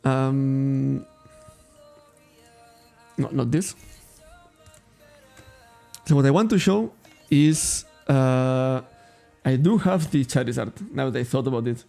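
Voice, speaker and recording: Gravelly Catalan-accented man, rambling casually. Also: medium pitch, takes it slowly, long pauses, mellow, soft background music. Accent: Catalan-accented English. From Spain. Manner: rambling, self-correcting, laughs often. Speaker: trading card shop streamer. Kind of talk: casual livestream chat.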